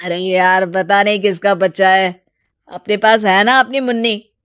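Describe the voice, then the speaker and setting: A woman's voice: mid-range voice, steady tone, measured pace, smooth, highs cut off, natural voice. A woman voicing an irritable, dismissive husband in a cartoon moral story. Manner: curt, dismissive. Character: irritable, callous husband refusing all responsibility.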